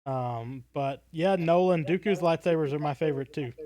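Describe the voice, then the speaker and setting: A man's voice: fairly high pitch, moderate pace, mellow. A man around his thirties co-hosting a casual livestream chat, rambling along in a jokey, tongue-in-cheek way. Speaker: podcast co-host.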